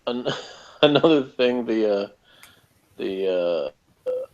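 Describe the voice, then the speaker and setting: A man with a medium-pitched voice talks casually through a review, with a sardonic edge. The recording is slightly dull and lo-fi, like an informal podcast. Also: very animated, slow.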